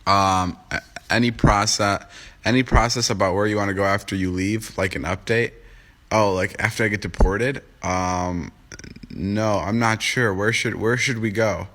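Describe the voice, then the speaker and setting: A man's voice: fairly low pitch, fairly even intonation, normal speed, fairly bright tone. A young man in his twenties, just woken up and groggy, chatting casually on a phone livestream from home, rambling and joking as he answers comments. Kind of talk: casual livestream chat to viewers. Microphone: phone livestream app.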